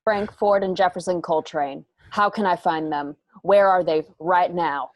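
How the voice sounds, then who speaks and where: Woman acting a menacing Western outlaw, low-voiced. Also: unhurried, dry recording, lightly acted. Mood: demanding. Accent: American. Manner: folksy drawling threats, taunting. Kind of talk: Western radio drama acting.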